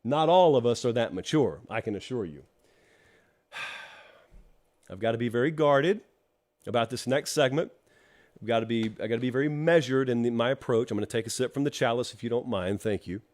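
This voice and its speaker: A man's voice: mid-range voice, normal speed, pauses often, darkish tone. A middle-aged man hosting a live sports talk show, his voice stuffed up and clogged from allergies. He talks in a loose, chatty way and drops dry jokes aimed at his live chat. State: congested from allergies. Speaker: college football show host. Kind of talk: live-streamed solo talk show with ad read.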